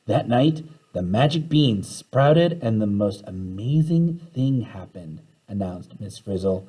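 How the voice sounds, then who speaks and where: A man reading a children's storybook aloud in an animated way, acting out the dialogue as he goes. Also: fairly low pitch, takes it slowly, breathy edge, rough recording. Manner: animated, acts out the characters' dialogue.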